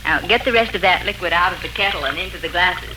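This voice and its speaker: A middle-aged woman playing a practical, patient mother in a 1940s radio drama, gently firm and instructive. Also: on the low side, quick pace, close to an everyday voice. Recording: hissy 1940s radio recording.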